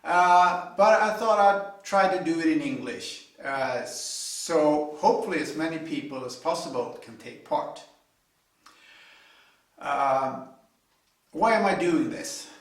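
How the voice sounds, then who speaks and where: Echoey, slow-talking Swedish man. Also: on the high side, expressive. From Sweden. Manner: halting, deliberate. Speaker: hobby builder presenting own video series. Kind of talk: informal explanatory talk to camera. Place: indoor home garage workshop. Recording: echoey garage, distant microphone.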